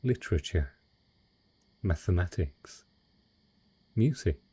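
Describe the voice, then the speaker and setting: A man playing a wealthy, cunning dragon in humanoid disguise, speaking in a refined, eloquent and husky way in a romantic fantasy audio roleplay. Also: sits low, lively intonation, warm tone, exaggerated voice. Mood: wistful, suave. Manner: refined, eloquent, unhurried storytelling.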